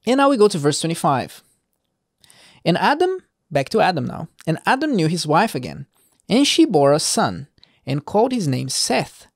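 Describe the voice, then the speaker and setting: A man's voice: a little high, sing-song, normal speed, vocal fry, no echo, clean studio sound. A man hosting an informal Bible reading, commenting off the cuff in a conversational, digressing way and putting rhetorical questions to the listener.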